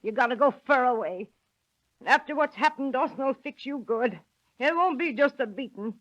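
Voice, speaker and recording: A woman's voice: high-pitched, lively intonation, normal speed, a bit bright, no echo. An actress playing a poor, grieving old mother in a vintage radio drama, plaintive and folksy in her speech. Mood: pleading. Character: weary, grieving, poor old country mother, self-sacrificing. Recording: muffled, dry old radio recording.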